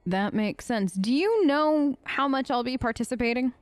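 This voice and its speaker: A woman's voice: sits high, lively intonation, normal speed, no room sound. A woman hosting a gaming video, casually role-playing her character's side of the dialogue in a chatty, conversational way.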